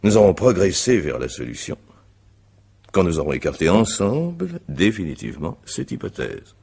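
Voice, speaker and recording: A methodical, courteous but insistent magistrate in a radio mystery. His voice is extremely deep and husky, with lively swings of intonation. The phrasing is formal and deliberate. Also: full character voice. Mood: grave, insistent. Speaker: examining magistrate. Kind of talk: French radio mystery drama acting.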